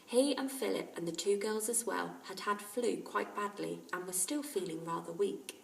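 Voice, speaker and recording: A woman's voice: mid-range voice, normal speed, gravelly. A woman teacher narrating a children's story aloud. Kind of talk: children's audiobook-style narration. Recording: roomy, distant microphone.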